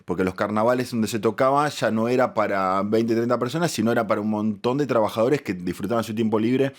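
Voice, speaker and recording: A man, a musician interviewed as a guest, explaining in measured, articulate, rather formal wording. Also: fairly low pitch. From Argentina.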